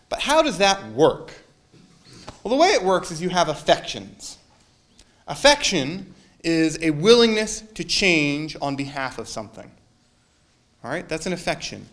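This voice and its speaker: Male minister, fairly high voice, chatty sermon with jokes and audience quizzes. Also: a bit bright. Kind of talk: casual sermon to a congregation.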